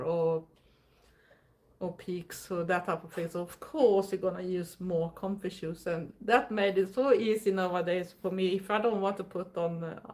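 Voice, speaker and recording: Chatty Swedish woman on a livestream. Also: fairly low pitch. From Sweden. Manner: chatty, rambling, with frequent fillers and soft laughs. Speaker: livestream host. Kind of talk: casual live-stream chat reading viewer comments.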